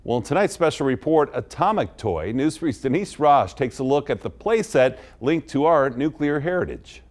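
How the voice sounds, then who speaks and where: A man's voice: medium pitch, animated, moderate pace, a little mellow. A man anchoring television news, reading a scripted introduction to a report.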